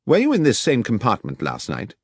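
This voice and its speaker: A man voicing a brisk, courteous gentleman detective with a formal, precise and commanding manner, as in an audiobook. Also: medium pitch, huge pitch swings, breathy edge, exaggerated voice. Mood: courteous, probing.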